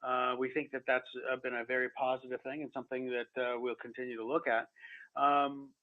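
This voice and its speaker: A man speaks as a company executive taking questions on a conference call. His delivery is halting, with stammers and many hesitations. The sound is thin and phone-like, and his pitch sits in the middle of the male range. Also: level tone. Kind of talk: unscripted answers to analyst questions. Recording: muffled narrow phone-line audio.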